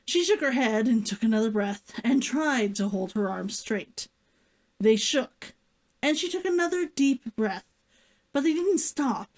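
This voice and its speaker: A woman hosting a read-along stream, talking to viewers and reading a story as an excitable vtuber. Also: fairly high pitch. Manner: giddy, giggly, gushing and repetitive while chatting.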